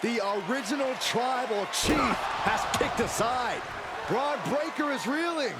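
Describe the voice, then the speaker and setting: A man's voice: very high-pitched, expressive, a little slow. A man doing enthusiastic, dramatic colour commentary on wrestling in a video game. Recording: loud crowd and arena noise.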